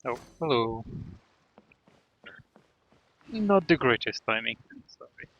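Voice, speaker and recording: A man's voice: mid-range voice, lively intonation, slow pace, muffled recording. A tired man chatting casually as a game streamer on a livestream.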